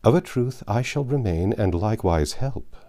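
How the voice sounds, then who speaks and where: Casting: male narrator as proud knightly king for a storybook, resolute and stately, low soft-edged voice. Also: animated, normal speed, close to an everyday voice. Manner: stately archaic speech. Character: brave, stubborn, chivalrous king.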